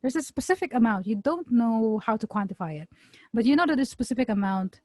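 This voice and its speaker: A young Filipino woman co-hosting a podcast, her voice a touch high and recorded dry and close. She is playful, searches for her words, and slows down to weigh a point. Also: expressive, warm tone, no room sound. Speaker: podcast co-host. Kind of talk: casual chat.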